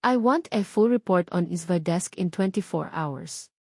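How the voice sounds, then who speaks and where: A woman's voice: lowish voice, animated, measured pace, a little mellow, dry recording, studio quality. A woman voicing a cold, hard businessman who gives firm orders and is protective of his family in an audio drama. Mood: cold, commanding. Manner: commanding, unwavering, code-switching between Tagalog and English.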